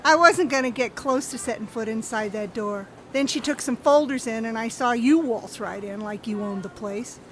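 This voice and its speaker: A woman's voice: fairly high pitch, moderate pace, few pauses, slight background noise, lightly acted. An actress playing a shrewd, insistent woman newspaper reporter in a contemporary radio drama. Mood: shrewd, pressing. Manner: insistent, accusatory.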